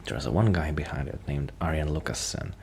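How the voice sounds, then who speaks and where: Very deep, creaky, soft-spoken man. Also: brisk. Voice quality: soft-spoken, sometimes dropping toward a whisper. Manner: shy, apologetic, hesitant and rambling. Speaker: ASMR roleplay performer playing a musician friend. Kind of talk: ASMR soft-spoken casual ramble. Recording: clear background noise.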